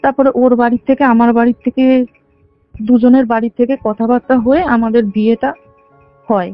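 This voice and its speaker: Young woman caller, high voice, telling her story in detail, muffled call audio. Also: fairly even intonation, fairly quick, dry recording. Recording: dull band-limited phone call, faint music. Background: faint background music.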